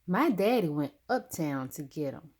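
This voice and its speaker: A woman's voice: low voice, sing-song, measured pace, a little mellow, full character voice. A woman voicing a boastful young girl rival in a children's storybook, with a showy, taunting manner. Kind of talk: children's storybook read-aloud.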